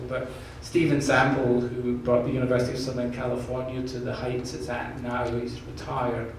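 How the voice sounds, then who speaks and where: A man with a slight Scottish accent answering questions at an open forum, earnest and jokey, often repeating words as he restarts. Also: medium pitch. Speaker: university president candidate. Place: large echoey room from far off. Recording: echoey room, distant mic, background noise.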